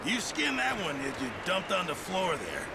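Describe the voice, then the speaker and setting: An actor playing a boozy, chatty camp cook in a video game, with a jovial, rambling and deferential manner. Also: male voice, sits high, huge pitch swings, moderate pace, very noisy. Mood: chatty, approving. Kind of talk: video game cinematic acting.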